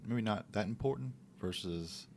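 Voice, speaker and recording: A man co-hosting a podcast, talking in a relaxed, reflective way about faith and daily life, sometimes acting out little quotes. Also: lowish voice, moderate pace, vocal fry, a little hiss or hum. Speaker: podcast co-host. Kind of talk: casual faith talk.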